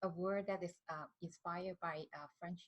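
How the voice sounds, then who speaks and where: A woman's voice: on the low side, steady tone, moderate pace. A woman in her thirties from southern China, speaking English with many fillers and restarts as a guest in a casual interview. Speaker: skincare brand founder, guest.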